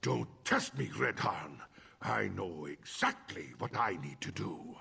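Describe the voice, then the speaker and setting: An actor playing a proud, paranoid, angry Nordic king and bitter father in a fantasy video game, speaking with theatrical scorn and a gravelly voice. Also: sits low, animated, slow pace, sharp tone, heavily acted. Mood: defiant. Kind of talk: dramatic fantasy game acting.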